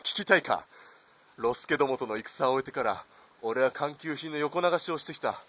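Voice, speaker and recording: A man's voice: mid-range voice, lively intonation, moderate pace, fairly bright tone, breathy edge. A man playing a corrupt, callous army lieutenant colonel in a radio drama, haughty and commanding. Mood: smug, commanding.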